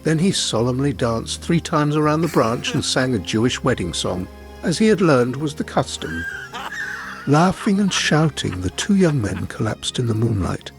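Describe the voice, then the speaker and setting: A man narrating a scripted folk tale in an expressive, theatrical manner. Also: mid-range voice, normal speed, soft background music. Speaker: storyteller narrator.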